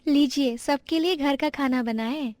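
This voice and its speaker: Lady doing sweet earnest young bride, really high voice, moral story acting, dry sound. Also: measured pace, a little mellow, dry recording. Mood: bright, earnest. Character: gentle, earnest young daughter-in-law from poor family.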